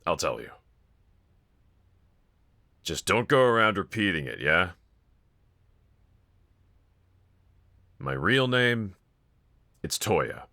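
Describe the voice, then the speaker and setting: A man's voice: sits low, very animated, slow pace, long pauses, sharp tone, exaggerated voice. A man playing a brooding young villain roommate in an intimate audio roleplay, confiding hesitantly and wryly to one listener. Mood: wry, teasing. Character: brooding young male villain roommate, self-loathing but softly grateful.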